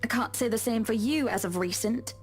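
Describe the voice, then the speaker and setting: A woman's voice: on the high side, fairly quick, lightly acted. A woman voicing a frustrated young wife who speaks in an exasperated, pointed way. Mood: firm. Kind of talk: voicing a visual novel character.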